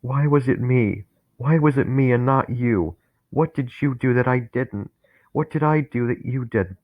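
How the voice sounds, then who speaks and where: A man voicing a dying young man who is terrified and bitter, talking in a strained, pleading way that rises to anguished shouts. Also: on the low side, fairly even intonation, takes it slowly, creaky, dry recording, exaggerated voice. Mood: bitter rage. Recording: tinny phone-like, dry sound.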